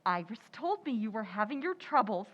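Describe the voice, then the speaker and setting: A woman's voice: fairly high pitch, sing-song, normal speed, a bit bright, dull audio. A woman playing a frazzled, sly young housewife in a 1940s-style radio comedy, with theatrical delivery. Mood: delighted, grateful. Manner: theatrical, exaggerated.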